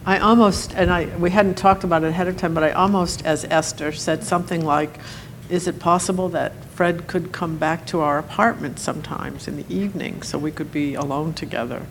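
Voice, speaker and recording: A woman who acted in a role-play, talking it over in a group discussion with halting speech, fillers and laughs. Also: sits low, fairly even intonation, slight background noise, rough recording.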